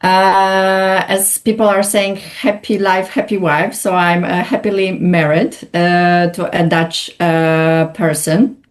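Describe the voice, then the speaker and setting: Hesitant woman, low voice. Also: fairly even intonation, takes it slowly, hardly any pauses. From Poland. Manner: hesitant, many filler sounds. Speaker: guest. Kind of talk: conversational interview.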